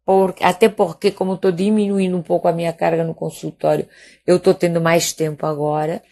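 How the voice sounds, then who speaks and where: A woman's voice: lowish voice, little pitch movement, a little fast, dark tone. A woman in her fifties from Mallorca, a native Catalan and Castilian speaker with a Spanish accent, chatting informally as a doctor hosting a live stream, often hesitating and checking in with tag questions. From Mallorca, Spain. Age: 50s. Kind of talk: casual live chat with viewers.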